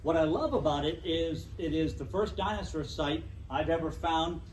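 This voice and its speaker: Middle-aged male lecturer with a loud, projecting voice, a bit high, recorded from afar in an echoey room. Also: moderate pace, a little hiss or hum. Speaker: guest speaker. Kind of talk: public lecture to a club. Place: meeting room. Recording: echoey room, distant mic.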